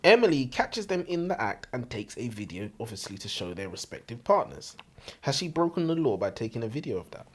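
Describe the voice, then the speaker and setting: A man talks as co-host of a casual book show. His delivery is lively, with plenty of pitch movement in a medium-pitched voice. He puts questions to his partner with crude, joking irreverence. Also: fairly quick, husky. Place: warm room, seated in comfy chairs.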